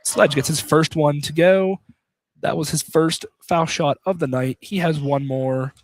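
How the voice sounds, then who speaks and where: A man's voice: on the high side, steady tone, measured pace. A man doing casual, informal live play-by-play commentary of a basketball game for radio. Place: courtside at a college basketball game, noise behind.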